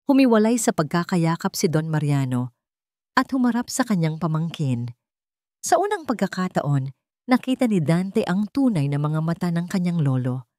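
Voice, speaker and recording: A woman's voice: lowish voice, sing-song, moderate pace, mellow, no room sound, studio quality. A woman narrator reading a scripted dramatic story.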